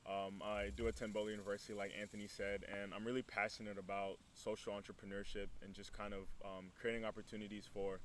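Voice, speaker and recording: A man's voice: lowish voice, little pitch movement, quick pace, hardly any pauses. A man, chatting casually and a bit hesitantly as an interview guest. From Burundian background, grew up in Texas. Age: early 20s. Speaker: interview guest, student entrepreneur. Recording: clear background noise.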